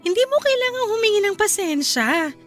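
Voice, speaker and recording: A woman's voice: extremely high pitch, sing-song, a little fast, fairly bright tone, faint music behind, heavily acted. An actress playing a caring young wife and new mother in a radio drama, sweet and affectionate. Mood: tender.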